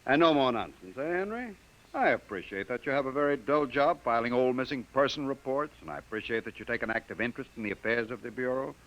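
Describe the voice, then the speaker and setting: A man's voice: mid-range voice, expressive, quick pace, a bit bright, natural voice, poor audio. An actor playing a brusque, dismissive male bureau chief who gives orders, in a 1950s radio drama. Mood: placating.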